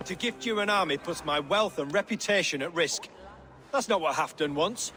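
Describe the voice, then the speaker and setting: Cautious courtly king, higher voice. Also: lively intonation, pushed and bright, soft background music. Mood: firm refusal. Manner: formal, measured. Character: cautious, stubborn, courtly Saxon puppet king. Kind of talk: video game dramatic acting.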